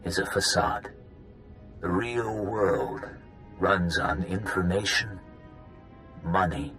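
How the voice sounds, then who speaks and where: An ancient, solemn founder of a shadowy order in a game cutscene. The voice is very low and unhurried, grave and deliberate, with music underneath. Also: male voice, bright, forceful tone, full character voice. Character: ancient solemn founder of a secret cabal, hibernating in a machine. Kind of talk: video game cutscene dialogue.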